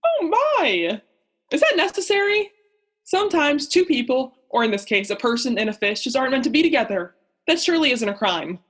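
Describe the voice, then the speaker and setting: A woman's voice: high-pitched, sing-song, a little fast. A young woman playing a naive, oblivious male oceanographer in a student radio play, earnest and melodramatic. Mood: bewildered, defensive. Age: young adult.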